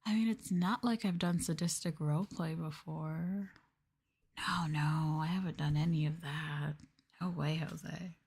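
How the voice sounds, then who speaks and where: A woman talking in a soft, breathy voice that often drops to whispers, playful and teasing, chatting casually as an ASMR host close to the mic. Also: deep voice, fairly even intonation, unhurried. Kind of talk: soft-spoken ASMR, casual chat with viewers. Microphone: sensitive mic up close.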